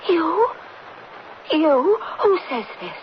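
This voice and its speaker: High-voiced anguished devoted wife. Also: female voice, sing-song, extremely slow, exaggerated voice. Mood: shocked, relieved. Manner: breathless, pleading, emotional. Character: Lucy, anguished, devoted wife of a condemned officer. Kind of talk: radio drama acting. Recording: muffled, very noisy old radio recording.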